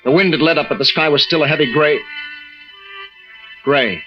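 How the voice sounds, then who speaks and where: An actor playing a tense, fearful lighthouse keeper and husband in an old-time radio drama, snappish and evasive. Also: male voice, a little high, normal speed, close to an everyday voice. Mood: haunted, brooding. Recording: muffled old radio recording with music.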